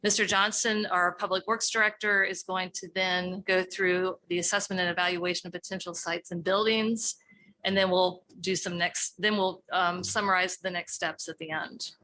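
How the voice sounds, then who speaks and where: Bright-voiced Virginian woman, losing her voice. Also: lowish voice, fairly even intonation, normal speed, slight background noise, poor audio. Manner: casual, loose, with many fillers. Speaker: city staff presenter. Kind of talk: opening a council presentation. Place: council chamber.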